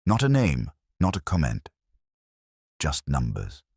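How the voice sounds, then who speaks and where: A man with a very deep voice narrates a detective story in the first person. His delivery is measured and literary, with plenty of rise and fall in the phrasing. The sound is dry, with hardly any room around it. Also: wildly swooping pitch, darkish tone, husky, no room sound.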